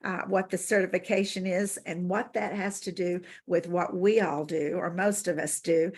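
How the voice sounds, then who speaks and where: Husky woman hosting a webinar. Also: fairly low pitch, steady tone, moderate pace, darkish tone. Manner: loose, stumbling over names. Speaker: webinar host. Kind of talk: introducing guests. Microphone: video call.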